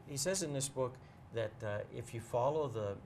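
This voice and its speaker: A man in a casual interview, talking as a bookseller guest in a measured, explanatory way and pausing to choose his words. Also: medium pitch, animated, a little mellow, a little hiss or hum.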